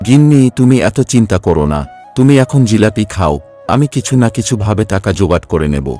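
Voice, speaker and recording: A man's voice: lowish voice, big pitch swings, a little fast, mellow, soft background music. A man playing a humble, hardworking poor father in a cartoon story, speaking earnestly and reassuringly. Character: hardworking, self-sacrificing poor labourer father, devoted and humble. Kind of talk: Bengali cartoon story voice acting.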